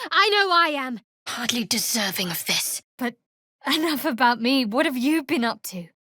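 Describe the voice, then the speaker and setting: A young female cleric of a dark goddess in a fantasy game. Her voice sits a little high, with a measured, dryly sardonic delivery that keeps others at arm's length. The sound is studio-dry, with no room around it. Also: dry recording. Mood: devout, then teasing, calm. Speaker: video game companion character. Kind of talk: scripted fantasy game voice acting.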